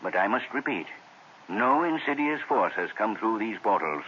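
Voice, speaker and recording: A man's voice: fairly low pitch, very animated, normal speed. An actor playing a stiff, pompous male theater doorman who speaks in a formal, pompous manner, in 1940s radio acting. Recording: hissy old radio broadcast, dull.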